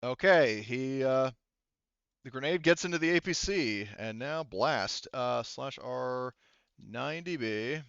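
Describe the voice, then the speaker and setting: A man running an online tabletop roleplaying game as game master, thinking aloud in a halting way over a headset mic. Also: medium pitch, lively intonation, creaky, fairly bright tone, clear, resonant tone, no echo, dull audio. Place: online voice session.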